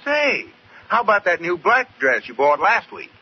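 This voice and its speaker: Animated, teasing radio sitcom husband. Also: male voice, high voice, moderate pace. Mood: exasperated. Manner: wry, teasing, mock-exasperated. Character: teasing, exasperated young husband, a bank employee. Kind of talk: 1940s radio sitcom acting. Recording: muffled, noisy 1940s radio recording.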